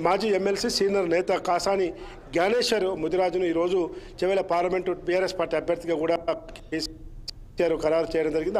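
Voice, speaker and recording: A man giving a live political news report in a halting, repetitive way, stumbling over his words. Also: sits high, darkish tone, slight background noise. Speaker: field reporter.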